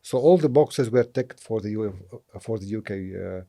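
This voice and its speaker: A middle-aged man from Lebanon talks through his thinking as an interview guest, often pausing with fillers. His voice sits fairly low and sounds mellow and relaxed. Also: vocal fry. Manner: thoughtful, hesitant with frequent fillers. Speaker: property investor, interview guest. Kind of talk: casual interview answers.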